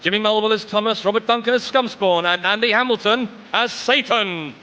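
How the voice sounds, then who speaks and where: High-pitched male radio announcer. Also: fairly quick, bright, forceful tone, clean tone, dull audio. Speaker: credits announcer. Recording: music playing under the voice.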